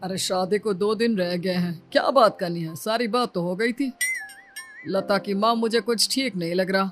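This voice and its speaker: A woman's voice: medium pitch, normal speed, soft background music, close to an everyday voice. A woman voicing a cautious middle-aged father in a story, doubtful and protective. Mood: worried.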